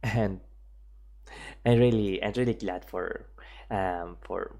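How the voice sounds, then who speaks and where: A male streamer talks unhurriedly in a relaxed, casual stream chat. His voice is mellow and dark. He rambles and keeps restarting his sentences. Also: low-pitched, slow, gravelly. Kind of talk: casual livestream commentary.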